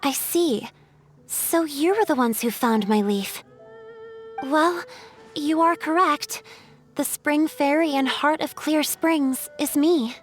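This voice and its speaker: A soft, fairly high female voice plays a wistful spring fairy in a video game. She tells her story gently and lyrically, with measured phrasing, over background music. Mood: tender, reminiscing.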